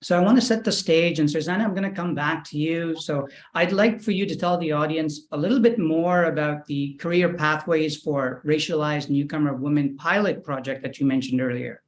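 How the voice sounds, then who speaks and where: Male panel host, a touch high-pitched, courteous moderator on a video call. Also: fairly quick, hardly any pauses. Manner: polite, introduces guests by name.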